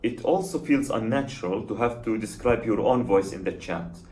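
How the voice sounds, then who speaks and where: A man's voice: lowish voice, normal speed, warm tone. A man hosting a channel, giving earnest, analytical spoken commentary and critique, sometimes repeating a word as he goes. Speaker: channel host reviewing an app.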